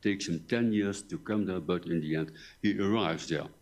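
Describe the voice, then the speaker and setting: An older man with a soft voice, giving a lecture in a careful, halting way with repeated words and pauses. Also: sits low. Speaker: visiting academic lecturer. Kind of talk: conference lecture in English. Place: university lecture hall. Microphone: table microphone, seated.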